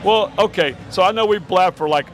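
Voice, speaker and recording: A man's voice: high-pitched, fairly quick. A man hosting a casual podcast chat outdoors, talking in a rambling way with restarts and frequent laughs. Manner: rambling, stammering, laughs often. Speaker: podcast host. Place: outdoors on a busy street. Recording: street noise behind.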